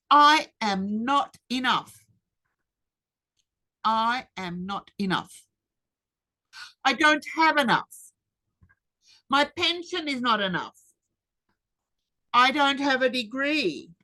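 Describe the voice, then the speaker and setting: A woman, giving a rehearsed webinar presentation in a measured, deliberate way with emphatic pauses. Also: high-pitched, animated, bright, forceful tone, poor audio. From Australia. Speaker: speaker. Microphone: webinar computer mic.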